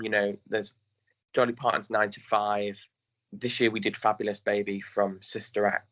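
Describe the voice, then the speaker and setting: Male interviewee, English accent, bright forceful voice on the lower side, animated storyteller, lo-fi sound. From England. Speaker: guest, pantomime director and choreographer. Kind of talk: conversational interview. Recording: dull lo-fi audio.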